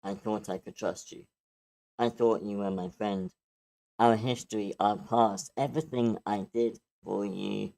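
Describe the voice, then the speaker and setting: A man playing a wounded, vengeful villain in a screenplay table read, with slow, menacing, dramatic phrasing. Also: lowish voice, steady tone, creaky, warm tone, rough recording. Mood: bitter, hurt. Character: vengeful, wounded male villain running for mayor.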